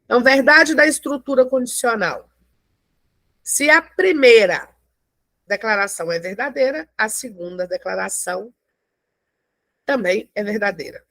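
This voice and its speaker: Woman teacher going through logic slowly, gravelly edge, dry close sound. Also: a little high, lively intonation, fairly bright tone, no room sound. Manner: explains slowly with frequent check-ins. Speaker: math teacher. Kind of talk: classroom lecture.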